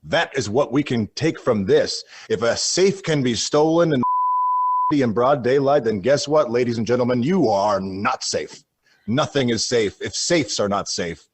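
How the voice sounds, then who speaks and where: A man's voice: medium pitch, lively intonation, husky, dry recording. A flustered, alarmist news anchor in a comic radio bulletin. He speaks in a medium register with grave drama, tripping over his words and repeating them. The sound is close and dry. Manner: dramatic, halting, repeats and stumbles over himself. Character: frantic, solemn male radio news anchor, absurdly alarmist.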